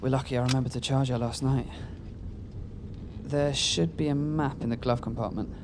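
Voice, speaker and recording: A woman's voice: very deep voice, fairly even intonation, normal speed. A woman playing a loyal, anxious and wry relative of a fugitive in a naturalistic audio drama. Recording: noisy car ambience.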